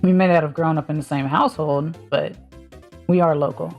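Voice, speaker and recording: A woman's voice: low voice, fairly even intonation, fairly quick, highs cut off, faint music behind. A woman with a mild Southern US accent, chatting casually on a stream and rambling as she thinks aloud with lots of fillers. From Southern United States.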